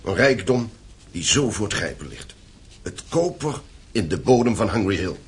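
A man's voice: lowish voice, big pitch swings, moderate pace, slightly rough, heavily acted. An actor playing a stern, domineering landowning father in a radio play, commanding and formal. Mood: grave, purposeful.

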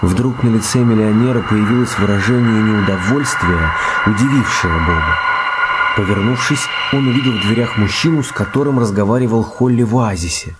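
A man's voice: lowish voice, normal speed, heavy background noise. A man giving scripted narration of a story in a radio play. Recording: radio play with music underneath.

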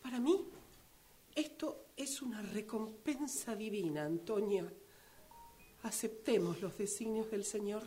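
A woman playing an eager, greedy, pious woman in a radio play, theatrical, stammering with excitement. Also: medium pitch, a little slow, slight background noise, voice pushed down, close to an everyday voice. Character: eager, greedy, pious woman exulting over found money.

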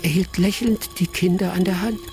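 An actor playing a Ghanaian villager who tells old tales in a radio drama, vivid and dramatic, like an oral storyteller. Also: male voice, high-pitched, steady tone, normal speed, a little mellow. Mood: dramatic, grave. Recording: music behind the voice. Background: music.

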